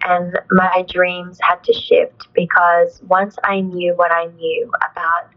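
A woman's voice: fairly low pitch, completely flat delivery, slow pace, muffled recording. A young adult woman, an actress, talking in an interview in an earnest, thoughtful way, full of "like" and "you know". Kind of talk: reflective conversational interview.

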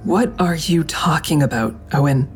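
A woman's voice: deep voice, moderate pace, faint music behind, close to an everyday voice. A woman playing a grim, confrontational investigator in an audio drama, questioning in a terse, dry, needling way. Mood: cold, dry. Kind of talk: audio drama acting with narration.

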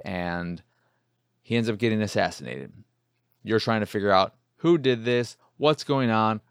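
A man with a fairly low voice and plenty of fry talks through game reviews as a podcast host. He is casual and rambling, doubling up words for emphasis. The recording is close and very dry. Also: no echo. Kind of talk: casual solo review talk.

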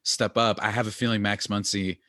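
A man co-hosting a podcast in casual chat, animated and talkative, often laughing. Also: lowish voice, brisk, dry recording, studio quality. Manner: animated, chatty, laughs often, many "like" fillers. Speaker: podcast co-host.

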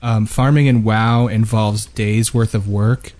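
A man's voice: fairly low pitch, flat delivery, measured pace, a little mellow. A man co-hosting a casual gaming podcast, chiming in with blunt, needling remarks. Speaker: podcast co-host.

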